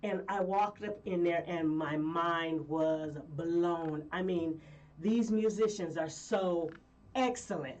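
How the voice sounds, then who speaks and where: Woman guest from Colorado, lively rambling storyteller, lower pitch, recorded a little distant. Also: slow pace, slight background noise. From Colorado, USA. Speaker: guest singer. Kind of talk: casual interview chat.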